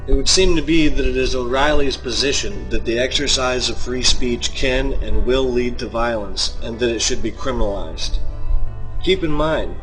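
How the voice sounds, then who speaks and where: A man narrates a scripted opinion piece at a middle pitch. He speaks deliberately and builds an argument in measured phrases, with music clearly playing underneath. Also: a bit bright, rough recording. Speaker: video commentator.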